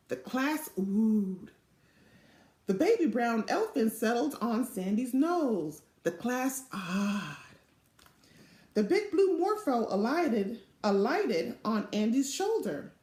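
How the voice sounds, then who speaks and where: A middle-aged woman reading a children's storybook aloud with expressive, deliberate narration, recorded on a device mic in a small office. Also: a little high, echoey room. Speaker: storybook reader.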